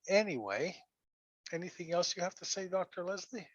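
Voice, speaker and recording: A husky, hoarse man hosts a relaxed online chat, stumbling and repeating words as he goes. His voice sits at a middling pitch and comes through a dull, muffled call line. The sound is close and dry, with no room around it. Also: no echo. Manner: halting, repeats and restarts words. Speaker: host. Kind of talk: casual talk-show chat reading viewer comments.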